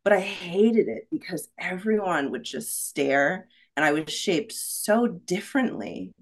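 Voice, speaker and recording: A woman with a low voice speaks candidly as a podcast guest. She reflects earnestly and often tags her points with "right?". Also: expressive, a little slow. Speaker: podcast guest, rock climbing guide. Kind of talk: candid conversational interview.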